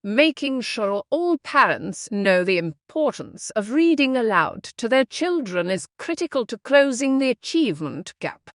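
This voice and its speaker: A woman's voice: a little high, very animated, unhurried, few pauses, no echo. Woman reader for English test passages, very varied intonation, dry close recording. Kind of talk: scripted read-aloud of exam practice passages.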